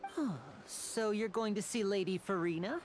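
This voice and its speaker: A woman voicing a courteous, playful, smooth-talking young man in a video game, with polite, measured phrasing. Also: medium pitch, expressive, lightly acted. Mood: gracious. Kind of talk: scripted video game dialogue. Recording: faint music behind.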